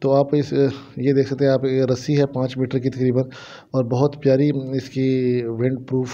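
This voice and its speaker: A man with a Pakistani accent gives an explanatory shop tour as a vlogger. His voice is mid-pitched and mellow, with little melody. He speaks casually and repeats himself for his viewers, and the phone audio is slightly muffled. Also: monotone, moderate pace. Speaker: vlogger showing shop goods. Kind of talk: casual shopping vlog narration. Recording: dull, lo-fi phone camera audio.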